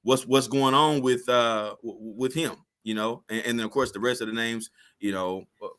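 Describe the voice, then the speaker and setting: A slightly hoarse man co-hosting a casual sports podcast, loose and repetitive, full of "you know what I mean.". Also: medium pitch, steady tone, normal speed, fairly bright tone.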